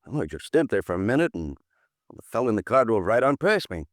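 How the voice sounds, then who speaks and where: A man's voice: on the low side, very animated, fast talker, dry recording, clean studio sound. A man playing a nervous young American newsboy in a 1950s radio drama, rambling and hesitant in street slang. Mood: nervous, eager. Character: nervous, talkative young newsboy, eager witness.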